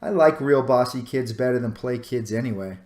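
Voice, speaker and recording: A man's voice: medium pitch, moderate pace, darkish tone, roomy sound, natural voice. A man reading a children's storybook, voicing a kind but firm, plain-spoken farm mother. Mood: wry, affectionate.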